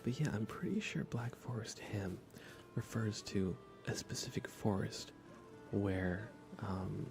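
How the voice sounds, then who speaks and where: Soft-spoken man, slow, low and gravelly. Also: music in the background. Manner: soft-spoken, often dropping into whispers; rambling, hesitant, musing aloud. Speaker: livestream game streamer. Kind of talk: relaxed live gaming commentary, ASMR.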